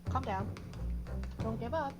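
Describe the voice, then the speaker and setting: Loud game music plays under a woman with a high-pitched voice. She is streaming a playthrough from home, reading the on-screen dialogue aloud. Her delivery is informal and reactive, as if she is chatting to herself. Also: measured pace. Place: bedroom at home.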